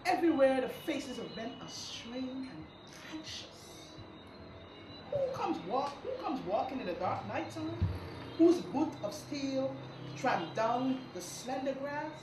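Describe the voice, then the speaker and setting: A young woman performs a poem dramatically and slowly, her pitch swinging widely. The room is echoey and clearly noisy. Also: animated. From Guyana. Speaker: performance poet. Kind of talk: dramatic poetry recital. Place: indoor live recital event.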